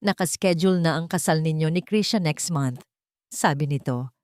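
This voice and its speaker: A woman with a Filipino accent reading a scripted romance story in a measured, dramatic way with short pauses between phrases. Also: low voice, darkish tone, no room sound, clean studio sound. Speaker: story narrator.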